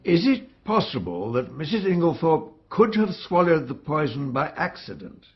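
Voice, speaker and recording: An actor playing a methodical coroner who questions in a measured, official manner in a radio drama. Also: male voice, on the high side, very animated, muffled recording.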